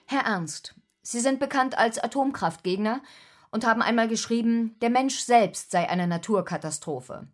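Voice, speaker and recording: An actress playing an earnest, slightly flustered news presenter in a German radio drama, speaking formally with hesitant fillers. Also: female voice, a little high, normal speed, natural voice. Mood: composed, serious.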